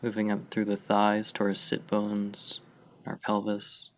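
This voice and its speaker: A man leading a guided meditation, giving gentle, unhurried instructions with long pauses between phrases. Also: fairly low pitch, completely flat delivery. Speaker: meditation guide, mindfulness fellow. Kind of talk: guided meditation, slow and spacious.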